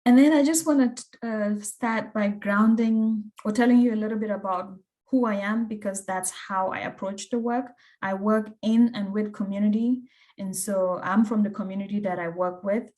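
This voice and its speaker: A woman's voice: mid-range voice, steady tone, moderate pace, darkish tone. Cameroonian-accented woman giving a presentation. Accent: Cameroonian English. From Cameroon, West Africa. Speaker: academic researcher, guest speaker. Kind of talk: slide presentation. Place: online video call.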